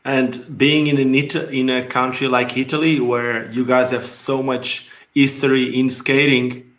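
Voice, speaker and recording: This is a man in his forties whose first language is Portuguese, and it shows in his accent as he hosts a relaxed interview. His voice sits at a middle pitch, and he comes across as animated and quick to laugh. He speaks through a headset on a video call. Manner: animated, laughing, gestures a lot. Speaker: host. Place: dim home room.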